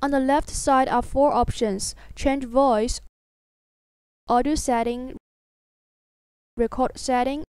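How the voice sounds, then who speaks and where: A woman with a high voice narrates a scripted tutorial. Her tone is smooth and clean, captured very dry. She explains things step by step in an instructional way. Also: fairly even intonation, takes it slowly, pauses often, a little mellow, no room sound.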